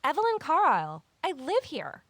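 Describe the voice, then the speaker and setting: A woman's voice: high voice, wildly swooping pitch, normal speed, dry recording, full character voice. An actress playing a wry, candid woman starting over, narrating her own story in an audio drama with self-deprecating asides. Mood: startled. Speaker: lead character and first-person narrator. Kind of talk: scripted first-person audio drama narration.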